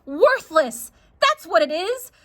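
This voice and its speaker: A woman performs a worried, exasperated storybook mother. Her voice is high and sharp, stern and emphatic, with big swings in pitch. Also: sing-song, slow pace, exaggerated voice. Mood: scolding.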